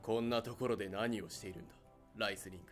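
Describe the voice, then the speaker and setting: A man's voice: lowish voice, racing, soft background music, natural voice. A man playing a stern, rule-bound spaceship captain in an audio drama, curt and commanding. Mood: stern, official.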